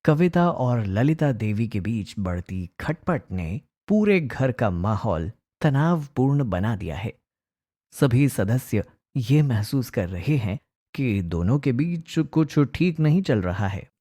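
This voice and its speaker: A man's voice: mid-range voice, big pitch swings, slow pace, warm tone. A man narrating a scripted family story as its storyteller.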